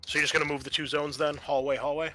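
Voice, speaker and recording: A man's voice: fairly high pitch, flat delivery, fast, pushed and bright. A man acting as game master for a tabletop roleplaying game, explaining turns and narrating in a conversational, improvised way.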